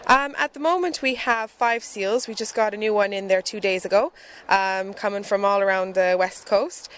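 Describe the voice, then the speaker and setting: A woman who manages an animal sanctuary, explaining her work in an interview and pausing often on "um" and "uh". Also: mid-range voice, a bit bright, a little hiss or hum. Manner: hesitant, many "um" and "uh" fillers. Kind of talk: informational interview.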